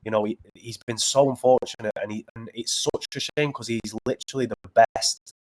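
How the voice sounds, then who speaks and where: A man with a Northern English accent from Preston, talking as a battle rapper guest in casual interview chat, earnest and halting with many restarts. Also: medium pitch, steady tone, darkish tone, low-quality recording. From Preston, England.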